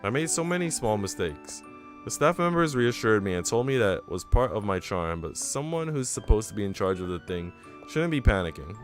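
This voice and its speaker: A man's voice: fairly low pitch, sing-song, moderate pace. A man reading a visual novel aloud as an earnest, reflective young woman who hosts a radio show. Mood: self-critical, uneasy. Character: earnest, self-doubting young woman radio host in love. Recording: music behind the voice.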